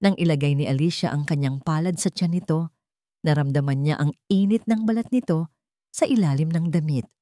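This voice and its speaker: A woman's voice: sits low, brisk, dark tone, no room sound, studio quality. A woman narrator reading a scripted romance story in a dramatic storytelling style.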